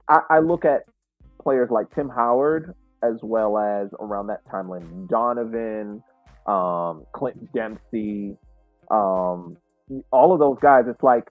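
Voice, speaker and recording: A man discusses sport as a podcast co-host, sounding earnest and deliberate. His voice comes through narrow and phone-like, dry and close, with faint music underneath. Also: on the low side, dry recording.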